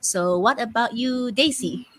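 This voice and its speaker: A woman's voice: a little high, unhurried, a bit bright. Chatty Malaysian woman hosting a live stream. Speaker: host of a live question game, online mentor.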